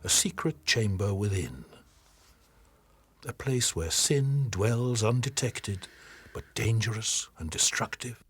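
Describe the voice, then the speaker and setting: An actor playing a grave, wise mentor in an audio drama, speaking in a measured, moralizing way. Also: male voice, on the low side, animated, fairly bright tone, slightly rough, soft background music, full character voice. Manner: solemn, measured, sententious.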